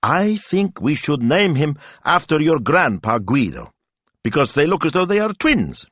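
A man's voice: a little high, sing-song, a little slow, a bit bright, slightly rough, no room sound, voice pushed up, full character voice. A man voicing a small, bossy, mischievous granddaughter in an audiobook story, with an emphatic, stubborn way of talking. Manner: emphatic, declarative.

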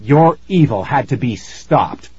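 A man's voice: mid-range voice, expressive, slow, warm tone, breathy edge, dry recording, dull audio, full character voice. A man voicing an earnest, protective scientist father in a storybook, speaking emphatically. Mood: defiant.

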